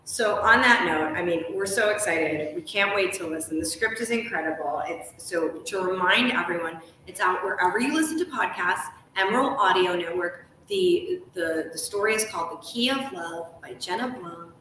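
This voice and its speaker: Gushy bright-voiced woman hosting a live show, super echoey room, bit of hiss. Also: lowish voice, lively intonation, moderate pace, hardly any pauses. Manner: effusive, gushing. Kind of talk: enthusiastic live event hosting. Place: large hotel lounge, indoors. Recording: echoey room, faint noise.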